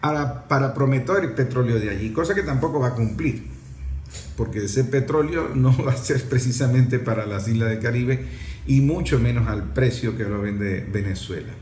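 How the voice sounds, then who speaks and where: A man's voice: medium pitch, normal speed, darkish tone. Male political commentator, emphatic, explanatory, unscripted, echoey room, faint background noise.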